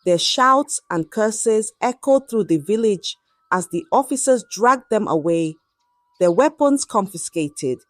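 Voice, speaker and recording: A woman telling a dramatic scripted story in an expressive, measured and suspenseful way. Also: medium pitch, no echo.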